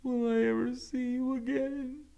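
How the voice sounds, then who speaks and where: A high, tearful young gosling. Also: male voice, level tone, measured pace, gravelly, dark tone, muffled recording, heavily acted. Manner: high, strained, childlike.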